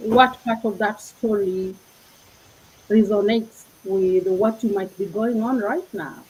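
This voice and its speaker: A woman's voice: mid-range voice, fairly even intonation, slow pace, slight background noise, rough recording. A woman with a Kenyan accent hosts an inspirational panel talk in an earnest, reflective way. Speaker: host.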